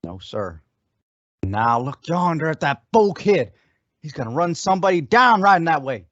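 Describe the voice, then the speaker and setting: A man's voice: on the high side, sing-song, moderate pace, fairly bright tone, no room sound. A man acting a loyal, worried deputy sidekick with folksy rustic speech in an old-time radio western. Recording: dull, dry lo-fi audio.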